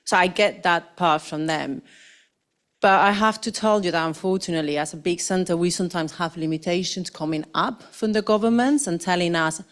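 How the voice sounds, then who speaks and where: A woman's voice: on the low side, a little fast. A woman doctor speaking on a conference panel, earnest and rambling, with non-native phrasing. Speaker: MPN specialist doctor. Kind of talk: panel discussion remarks. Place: conference hall.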